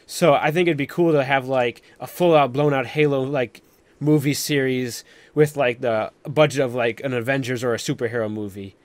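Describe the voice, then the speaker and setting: A man's voice: medium pitch, fairly even intonation, normal speed, no echo. Casual rambling man hosting, close dry sound. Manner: rambling, peppered with "like". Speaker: host of a live stream panel. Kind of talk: casual chat.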